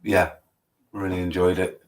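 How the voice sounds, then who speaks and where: A man co-hosting a livestream on a video call, hesitant, with lots of fillers and soft laughs. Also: low voice, flat delivery, unhurried. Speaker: livestream co-host. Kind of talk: casual reminiscing chat.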